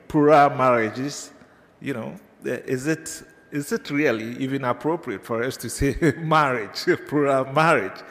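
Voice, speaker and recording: A man from Ghana with a Ghanaian accent, giving an unscripted academic lecture to teachers, hesitant with many filler pauses and digressions. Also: medium pitch. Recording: reverberant lecture hall.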